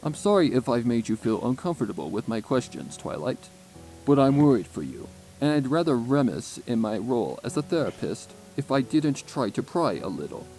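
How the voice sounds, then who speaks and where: A man voicing a calm adult stallion therapist in a storybook narration, with a pleasant, moderately deep voice and patient, direct, searching questions. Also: normal speed, soft background music, poor audio. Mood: apologetic, patient.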